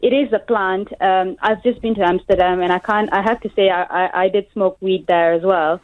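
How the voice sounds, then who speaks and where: A young woman from Malaysia calls into a radio show, speaking earnestly and searching for words. Her mid-pitched voice comes through a narrow, tinny phone line that sounds dry and close. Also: steady tone, fairly quick, dry recording. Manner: earnest, many ums and restarts. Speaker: caller, pharmacist. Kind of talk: phone-in call.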